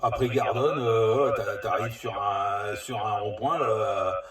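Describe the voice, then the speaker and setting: A man's voice: lowish voice, a little slow, a crowd in the background. Rambling man in an echoey space. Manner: hesitant, rambling, repeats words. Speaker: CB radio operator. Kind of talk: casual radio chat. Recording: echoey, distant, voices behind.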